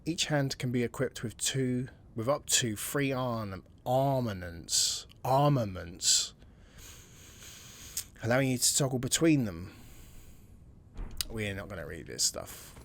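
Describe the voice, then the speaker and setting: A man's voice: mid-range voice, animated, slow, vocal fry, long pauses. A man giving casual, chatty commentary while he plays a video game, thinking aloud and reading tutorial text as he goes. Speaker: gaming channel host.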